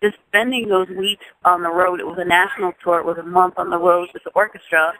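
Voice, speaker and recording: A woman's voice: on the low side, fairly even intonation, quick pace, a crowd in the background, low-quality recording. A woman in her thirties, a singer from Jamaica, speaking as an interview guest in a thoughtful, casual way, restarting phrases often. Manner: thoughtful, with frequent restarts and soft laughs.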